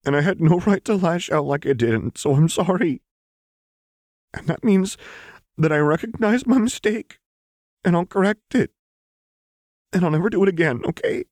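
A man voicing an earnest, tender young woman in an audiobook, halting and pleading. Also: high-pitched, expressive, a little mellow, no echo, voice pushed up. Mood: nervous, apologetic. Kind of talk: audiobook character voice.

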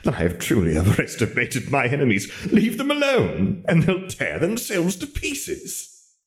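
A man voicing a bitter, arrogant dark wizard for an audiobook, soft yet commanding, ranting with scornful, precise words. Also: on the high side, wildly swooping pitch, moderate pace, pitched above the performer's own voice. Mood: bitterly mocking. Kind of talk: audiobook character voice.

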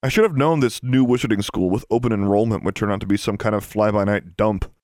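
A man's voice: lowish voice, quick pace, a little mellow, dry recording, clean studio sound, lightly acted. A man voicing a surly schoolgirl in an audiobook, grumbling with disdain. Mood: scornful. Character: surly, scornful schoolgirl with a complaining streak.

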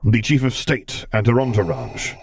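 Through a noisy recording comes a man acting an imposing dark lord from an audiobook. He speaks in grave, formal, measured phrases, terse and utterly in command. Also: mid-range voice, lively intonation, voice pushed up, close to an everyday voice.

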